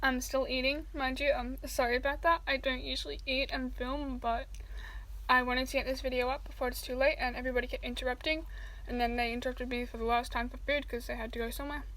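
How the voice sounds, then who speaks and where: A woman with a high voice reads fan fiction aloud at home and drifts into rambling chat full of fillers. She talks while eating. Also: flat delivery, quick pace, a bit bright, low-quality recording.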